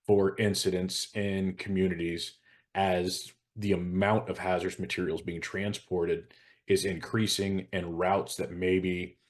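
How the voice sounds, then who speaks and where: An American man talking informally as a hazardous materials expert, explaining things in a measured, matter-of-fact way. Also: sits low.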